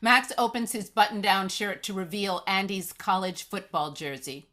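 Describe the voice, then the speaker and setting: A woman narrates a screenplay at a table read, reading out the action and scene headings. Her voice sits at an average pitch for a woman. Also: normal speed, a bit bright. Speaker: stage-direction reader.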